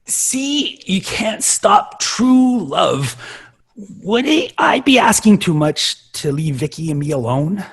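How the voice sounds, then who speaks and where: A clingy, lovesick nerdy boy from a sitcom, put on by an adult. The voice is rough-edged and leaps around in pitch, full of melodrama and whiny devotion. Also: sing-song, slow, heavily acted. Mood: smitten, nervous. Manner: melodramatic, swooping between pleading and wailing. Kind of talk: live sitcom table read.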